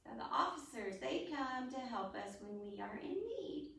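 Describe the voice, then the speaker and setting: A woman's voice: sits high, lively intonation, moderate pace, creaky, bright, forceful tone. A woman hosting a storytime for young children, explaining things in simple, demonstrative phrases as she talks and reads aloud. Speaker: library storytime host. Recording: echoey room, distant microphone.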